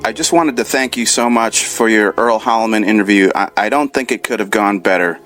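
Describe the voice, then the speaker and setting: A man's voice: fairly low pitch, fairly quick, a bit bright, soft background music. A man leaving an earnest spoken message and reading aloud a story he wrote down. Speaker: caller.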